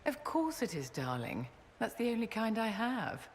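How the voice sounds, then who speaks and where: A woman's voice: a little high, sing-song, normal speed, a little hiss or hum. An actress playing a pampered, haughty noblewoman who speaks in an arch, condescending drawl. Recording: reverberant game audio with long echo.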